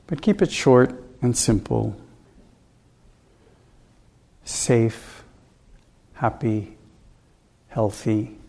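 A man leading a guided meditation as a teacher, giving calm, gentle instructions with unhurried pauses. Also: mid-range voice.

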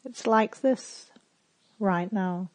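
Female meditation guide: very unhurried, calm and gentle, mid-pitched, long pauses, dry close sound. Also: no room sound. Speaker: meditation teacher.

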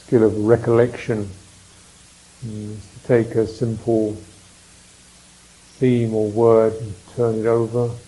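Male meditation guide: very slow, level delivery, reflective and contemplative, leaves space between phrases. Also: lowish voice, little pitch movement, warm tone, rough recording. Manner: contemplative, unhurried phrasing with reflective pauses and murmured mms. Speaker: meditation teacher.

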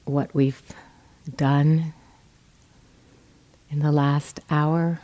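A woman teaching meditation, giving gentle, measured guidance with pauses between phrases. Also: sits low, flat delivery. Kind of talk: guided meditation instruction.

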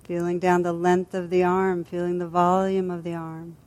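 A woman teacher leading a guided body scan meditation in a gentle, soothing, unhurried manner with long pauses. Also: on the low side, completely flat delivery.

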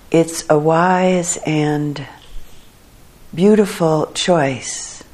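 A woman leading a guided meditation for a group as their teacher, speaking in a calm, measured way with long pauses. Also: sits low.

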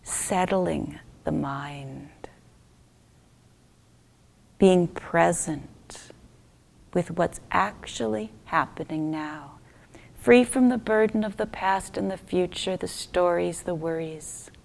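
A woman leading a guided meditation as a teacher, speaking gently in short unhurried phrases that trail off softly into near-whisper. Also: lowish voice, creaky.

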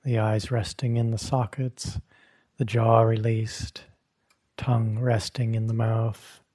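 A man with a mellow, husky voice guides a meditation. He speaks very slowly and gently, leaving long pauses between short phrases, like a teacher settling a group into stillness. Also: on the low side, little pitch movement. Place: meditation hall at a retreat.

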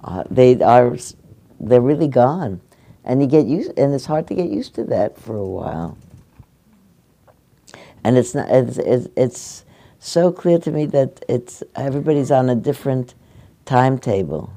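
An older woman giving a meditation talk, speaking gently and haltingly as she answers questions from her audience. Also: rumbling low, animated. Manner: gentle, halting, restarts often. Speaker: meditation teacher. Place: meditation hall.

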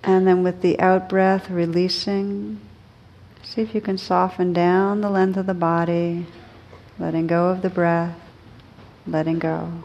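A woman teaching meditation, guiding listeners gently and unhurriedly, with pauses between short phrases. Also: fairly low pitch, flat delivery, lo-fi sound. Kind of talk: guided meditation.

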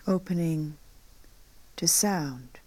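A woman guides a meditation in a low, soft-toned voice. She speaks very slowly in short phrases with long pauses between them, and the ends often fall into a hush. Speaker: meditation teacher.

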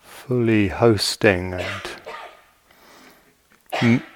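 A man guiding a meditation as a teacher, speaking gently and in measured short phrases with long pauses. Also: lowish voice, fairly even intonation, a little hiss or hum. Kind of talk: guided meditation. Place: meditation retreat hall.

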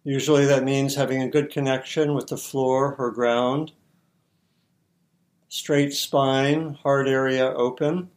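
Slow-paced man guiding a meditation. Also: medium pitch, little pitch movement. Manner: measured, unhurried, with long pauses between short phrases. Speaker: meditation teacher. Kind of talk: guided meditation instruction.

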